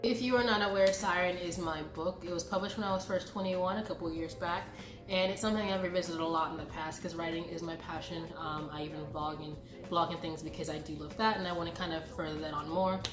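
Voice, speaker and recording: A woman in her late twenties talks quickly in a slightly low, congested voice, as if to friends on her channel. She is chatty and informal, giving a casual update. The room has a noticeable echo, and music plays behind her. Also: fairly even intonation. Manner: chatty, informal, addresses viewers familiarly. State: congested from a cold. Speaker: YouTube vlogger. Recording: roomy echo, background music.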